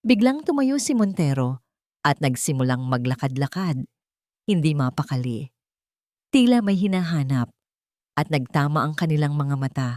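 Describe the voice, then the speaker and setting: A Filipino woman tells a dramatic love story in a fairly low voice. Her delivery is solemn and emotive, with deliberate phrasing. The recording is dry and close, free of room sound. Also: sing-song, a little mellow, no echo. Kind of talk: dramatic scripted romance narration.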